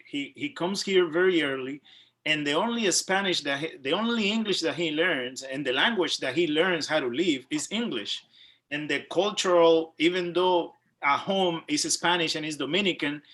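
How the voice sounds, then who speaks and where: A man's voice: a little high, a bit bright. A man hosting a reading on a video call, talking loosely in run-on phrases with fillers. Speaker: host.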